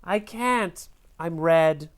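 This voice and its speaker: A woman's voice: on the low side, very slow. A woman playing a young male crayon in a children's storybook, meek and earnest, speaking simply. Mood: discouraged.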